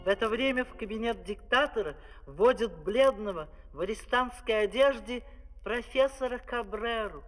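An actor narrating a fairy-tale opera as its storyteller, in a theatrical scripted style on an old record. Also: male voice, high voice, lively intonation, moderate pace, a bit bright. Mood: expository. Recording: old vinyl, long reverb, music behind.

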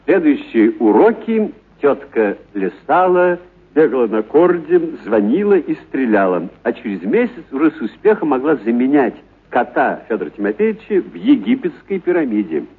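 A man narrating a literary story for radio in a dramatic, expressive storytelling manner. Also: mid-range voice, normal speed, slightly rough. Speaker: narrator, actor reading a story. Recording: narrow, muffled radio-broadcast sound.

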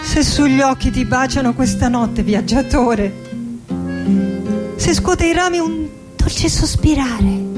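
Melancholy woman poet, high voice, unhurried dramatic stage recital, wounded yet defiant, guitar music behind. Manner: dramatic, lyrical, lingering on phrases. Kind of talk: theatrical monologue and poetry recital over music. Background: acoustic guitar music.

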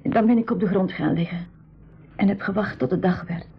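A woman's voice: medium pitch, fairly quick, creaky, husky. A shy village girl of seventeen, frightened and hesitant as a refugee in an old radio drama. She is sparing with words. The recording is dull and lo-fi. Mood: sad, shaken. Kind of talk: 1980s school radio play acting.

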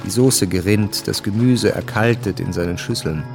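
Radio drama, male actor as narrator, measured storytelling, lowish pitch, music underneath. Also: close to an everyday voice.

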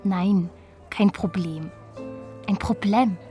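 A woman's voice: mid-range voice, takes it slowly, mellow, faint music behind, natural voice. An actress playing an enigmatic, dreamy young woman who talks in cryptic riddles in a radio play. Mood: cryptic, intense. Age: young adult. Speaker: actor in radio drama. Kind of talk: naturalistic radio play acting.

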